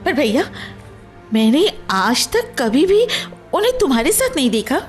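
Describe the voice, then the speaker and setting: An actress playing a nosy, scolding young sister in a radio play, expressive and chiding. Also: female voice, sits high, measured pace, faint music behind. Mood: reproachful. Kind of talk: Hindi radio play acting.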